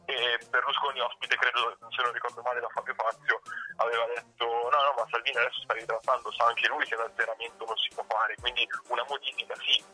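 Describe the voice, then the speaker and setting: A man giving casual political commentary, halting and often repeating words as he goes. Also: medium pitch, little pitch movement, sharp tone, slightly rough. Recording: muffled phone-like line, music underneath.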